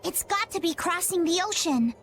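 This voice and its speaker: A woman voicing a quirky young girl pirate with odd, drawn-out sayings in a video game cutscene. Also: extremely high pitch, lively intonation, a bit bright, faint music behind, exaggerated voice. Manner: oddball, drawn-out.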